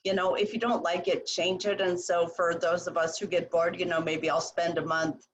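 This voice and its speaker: A woman hosting a video call interview, talking in a relaxed, reflective way full of fillers. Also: on the low side, moderate pace, slight background noise, poor audio. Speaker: coach, host.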